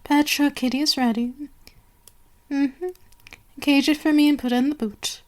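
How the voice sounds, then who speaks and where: A woman playing an imperious, seductive vampire queen in an ASMR roleplay, haughty and menacing, drifting into breathy whispers. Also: high-pitched, steady tone, moderate pace, darkish tone, close to an everyday voice. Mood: brisk, commanding.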